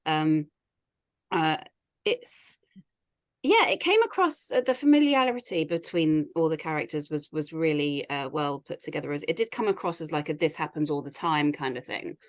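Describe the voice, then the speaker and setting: A woman's voice: low voice, animated, normal speed. A woman giving casual feedback on a reading panel in a hesitant, apologetic manner.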